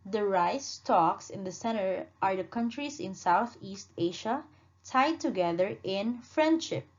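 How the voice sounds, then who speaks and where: A woman teaching a lesson, speaking slowly, repeating words and correcting herself as she asks the class questions. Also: medium pitch, lively intonation. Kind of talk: classroom lesson with read-aloud dialogue. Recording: dull lo-fi sound.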